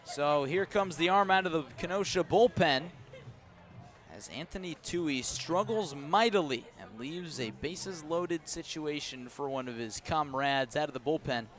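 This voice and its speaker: Guy doing live baseball play by play on the radio, slightly higher pitched voice. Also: a little slow, a bit bright. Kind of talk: live radio sports commentary. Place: press box at an outdoor ballpark.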